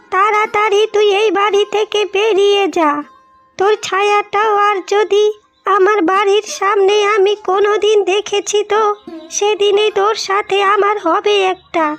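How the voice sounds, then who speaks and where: A woman voicing a spiteful, greedy older village mother-in-law in a melodramatic cartoon. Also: very high voice. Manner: scolding, threatening.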